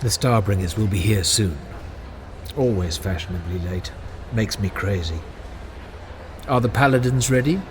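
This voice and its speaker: A man's voice: on the low side, moderate pace, long pauses, a little hiss or hum, natural voice. An actor playing an eager, conciliatory male official who speaks earnestly. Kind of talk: audio drama acting. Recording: music bed underneath.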